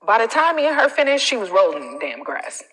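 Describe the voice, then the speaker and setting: Bright-voiced American woman coaching, echoing sound. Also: fairly high pitch, fast, breathy edge. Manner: blunt, animated, colloquial straight talk. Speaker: self-confidence coach. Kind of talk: casual livestream coaching talk. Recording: reverberant, echoing room sound.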